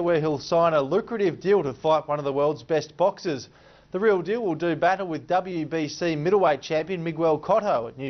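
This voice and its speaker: A man's voice: a little high, dull audio, slight background noise. An Australian man presenting sports news, reading from a script with occasional light banter.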